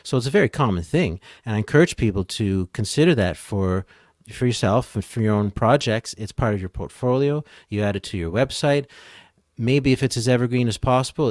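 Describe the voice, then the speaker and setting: A man's voice: lowish voice, darkish tone, no room sound, studio quality. A man speaking as an expert guest in a conversational, explanatory way, offering encouraging advice.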